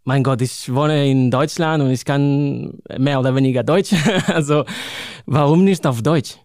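A man in his thirties from Spain speaks German with a Spanish accent, pausing to search for words and laughing easily. His voice sits in a medium range. The recording is dry and close, with no room sound. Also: mid-range voice, a little mellow, dry recording. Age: 30s. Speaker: poet and author guest. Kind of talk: interview, reading own poem.

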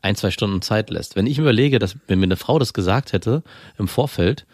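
A man co-hosting a podcast, giving thoughtful, measured relationship advice in casual chat. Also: on the low side, animated, darkish tone, no echo, clean studio sound. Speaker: podcast co-host.

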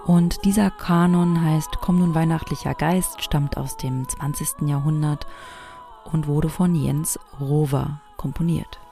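A woman's voice: sits low, steady tone, measured pace, vocal fry, mellow, faint music behind. A woman hosting a homemade audio piece, talking casually and reflectively.

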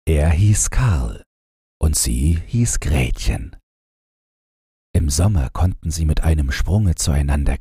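Male audiobook narrator, very husky low voice, dark and soft, soothing bedtime fairy tale reading. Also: no echo, clean studio sound.

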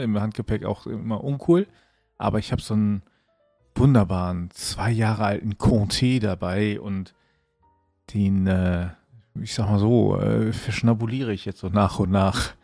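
A man's voice: lowish voice, vocal fry, darkish tone, no room sound. A man co-hosting a podcast in casual conversation. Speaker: podcast co-host.

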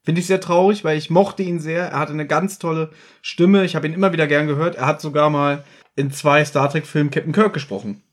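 Slightly high, husky-voiced man. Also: steady tone, brisk. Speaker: podcast host. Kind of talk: casual chat recapping an audio drama.

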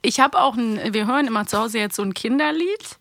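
Lively, high-voiced woman chatting casually. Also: fairly quick, vocal fry, dry recording. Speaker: podcast co-host. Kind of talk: casual chat about children's audio plays.

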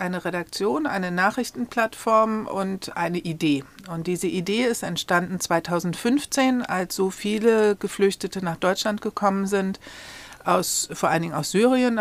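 A woman leading a media project, explaining its story fluently and in measured sentences as an interview guest. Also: mid-range voice. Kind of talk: interview answers.

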